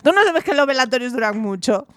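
A woman's voice: high-pitched, expressive, quick pace, fairly bright tone, clear, resonant tone, no room sound. A woman co-hosting a radio chat, chatty and joking. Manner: chatty, joking, laughs easily. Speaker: radio show co-host. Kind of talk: casual studio chat.

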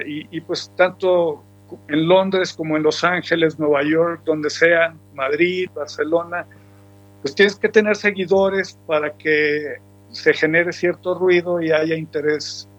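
Guy musician, kinda high voice, rambling on, dull lo-fi sound. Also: a bit bright. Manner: reflective, rambling with frequent restarts. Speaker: musician guest. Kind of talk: relaxed radio interview. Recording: dull lo-fi recording with faint music.

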